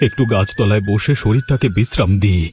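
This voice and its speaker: A man voicing a betrayed, weary old village gentleman in a cartoon, speaking in a plaintive, polite way. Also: lowish voice, fairly quick, a little hiss or hum, close to an everyday voice. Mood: grieving, weary. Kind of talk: dramatized Bengali moral-story cartoon dub.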